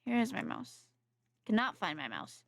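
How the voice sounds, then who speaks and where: A woman game streamer chatting casually to viewers, haltingly thinking aloud and repeating her phrases. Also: a little high, sing-song, fairly bright tone, dry recording, clean studio sound. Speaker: game livestreamer. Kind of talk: casual livestream chat.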